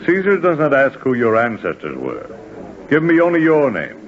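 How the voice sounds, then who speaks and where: A curt Roman official in an old radio play. He is commanding and officious, with sharply varied pitch, on a dull 1940s recording. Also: wildly swooping pitch, moderate pace. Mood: impatient. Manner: brusque, commanding.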